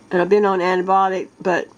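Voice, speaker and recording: A woman with a congested, sick-sounding voice talks to her viewers in a casual vlog. She speaks earnestly in halting bursts, often starting over. She clears her throat and coughs again and again. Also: medium pitch, dull audio. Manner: earnest, halting, with many restarts. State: congested, stuffed-up nose from a sinus illness. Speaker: vlogger.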